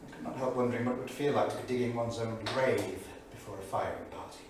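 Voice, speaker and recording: An actor playing a bitter, erudite English diarist of the 1930s, declaiming a journal in a sardonic, literary manner. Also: male voice, mid-range voice, quick pace, close to an everyday voice. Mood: grimly wry. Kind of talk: staged dramatic reading of a journal. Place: theatre studio stage, far microphone. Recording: distant echoey theatre studio, faint noise.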